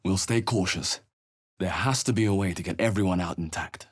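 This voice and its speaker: An actor playing a wry, watchful adventurer hero in a fantasy video game, with a husky voice and a dry, theatrical delivery. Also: male voice, sits low, brisk. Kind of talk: fantasy game character voice lines, short exclamations and remarks.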